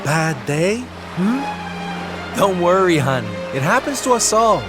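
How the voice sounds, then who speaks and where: A man's voice: high voice, sing-song, unhurried, over music. A man playing a flamboyant, tender-hearted seafaring hero in a video game, with a camp, theatrical manner. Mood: upbeat, bold. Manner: theatrical, camp, fond endearments. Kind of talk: video game cutscene acting.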